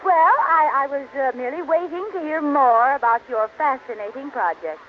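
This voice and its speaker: Radio sitcom wife: eager, theatrical, intellectual airs, very animated delivery, old noisy broadcast sound. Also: female voice, sits high. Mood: gushing flattery. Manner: gushing, drawn-out emphasis, theatrical. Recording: muffled old radio recording, faint noise.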